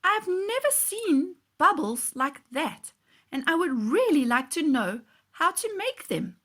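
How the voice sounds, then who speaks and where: A woman acts out a curious young boy from a storybook, pitched well up and swooping with excitement. He is polite, earnest and full of wonder. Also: takes it slowly, exaggerated voice. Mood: polite, admiring. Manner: wide-eyed, earnest, polite.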